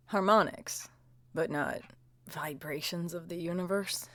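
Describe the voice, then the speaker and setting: A woman's voice: lowish voice, slow pace, a bit bright. An actress playing a sardonic, stressed woman homeowner who talks with dry, clipped sarcasm. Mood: wry. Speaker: lead character.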